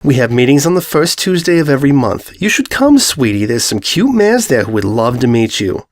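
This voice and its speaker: A man's voice: medium pitch, big pitch swings, brisk, no room sound, natural voice. A man voicing a cheerful, teasing middle-aged pony mother who is doting, blunt and effusive in a storybook reading. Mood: cheerful, chatty. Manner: effusive, coaxing, uses endearments.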